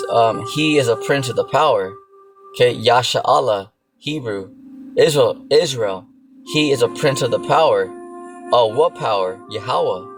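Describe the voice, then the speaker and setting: A man giving an informal sermon, repeating himself and often checking in with the listener. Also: medium pitch. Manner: repetitive, filler-heavy, keeps checking in with "okay" and "you know". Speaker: religious teacher. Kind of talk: informal preaching. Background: music.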